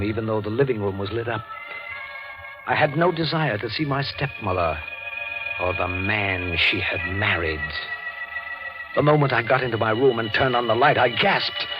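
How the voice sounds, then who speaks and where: Music swells behind a muffled vintage radio recording of a man acting a brooding young man. His mid-pitched voice is intense and formal, with bitter politeness, in 1940s radio drama style. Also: close to an everyday voice. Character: brooding, resentful young stepson haunted by father's murder.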